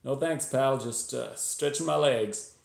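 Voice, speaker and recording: A man's voice: medium pitch, unhurried, gravelly, pitched above the performer's own voice. A man voicing a tense, hard-boiled Texan narrator of a crime thriller, with an attempted Texas accent. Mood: falsely casual. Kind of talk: first-person audiobook-style thriller reading. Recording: roomy echo, live video stream.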